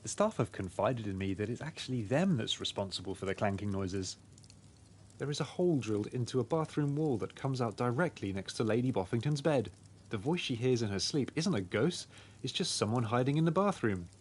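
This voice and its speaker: A man's voice: mid-range voice, lively intonation, brisk, slight background noise, natural voice. A man playing a pig detective in a video game, laying out his deductions in an expository, storytelling way. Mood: explanatory. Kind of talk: scripted game dialogue.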